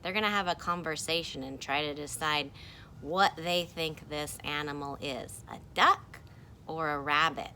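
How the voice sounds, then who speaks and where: A woman teacher reading a picture book aloud to children, animated and encouraging, with playful sound effects. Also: sits low, unhurried, slight background noise.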